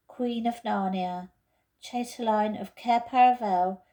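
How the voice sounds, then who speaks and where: Mellow woman reading a storybook aloud. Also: medium pitch, slow pace. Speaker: teacher reading aloud to pupils. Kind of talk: expressive storybook narration.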